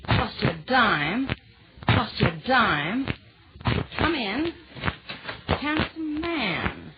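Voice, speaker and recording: Sassy, lively-voiced flirtatious princess. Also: female voice, fairly high pitch, drawn-out words, exaggerated voice. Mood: flirty, sassy. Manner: sassy, blunt. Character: flirtatious, wisecracking fairy-tale princess. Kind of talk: 1940s radio comedy acting. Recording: noisy, dull old 1940s radio recording.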